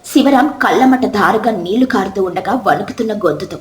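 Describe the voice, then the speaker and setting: A woman's voice: medium pitch, fairly quick, echoey room, faint music behind. A woman reading scripted, dramatic moral-story narration.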